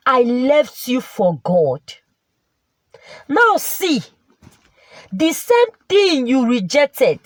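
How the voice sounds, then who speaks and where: Woman acting a measured, reproving wronged mother. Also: high-pitched, no echo, exaggerated voice. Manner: measured, proverb-laden, sighing hums. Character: knowing, wronged mother, reproving yet forgiving.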